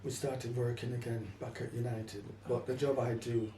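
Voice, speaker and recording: Mellow, halting older man in echoey room. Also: medium pitch, little pitch movement. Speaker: interviewee. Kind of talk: hesitant personal interview talk. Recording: echoey, distant mic, faint noise.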